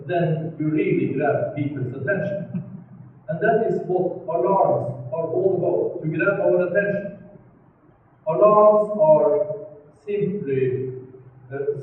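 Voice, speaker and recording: A man from North Norway with a Northern Norwegian accent, giving a conference keynote slowly and deliberately with dry jokes. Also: on the high side. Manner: slow, deliberate, dry joking. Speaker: keynote speaker. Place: large conference hall. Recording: echoey hall, far mic, tinny, faint hiss.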